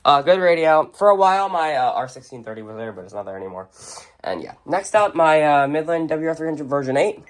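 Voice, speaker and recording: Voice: medium pitch, big pitch swings, fairly quick. A person casually showing off a radio collection unscripted, giving short, blunt verdicts in an offhand way. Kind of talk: casual unscripted show-and-tell. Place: indoors at home, among shelves and tables of radios.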